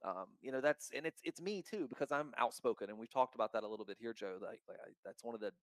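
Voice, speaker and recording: A man who founded a crypto token, talking in a casual podcast chat, outspoken and blunt with a loud voice and free swearing. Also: mid-range voice, quick pace, no room sound.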